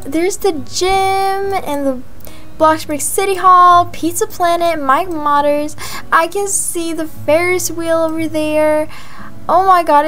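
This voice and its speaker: A woman narrating a roleplay house tour in a casual, gushing manner, with excited exclamations. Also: sits high. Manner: gushing, breathy gasps of amazement, drawn-out exclamations. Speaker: gaming video creator. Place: voice-over of gameplay, music behind.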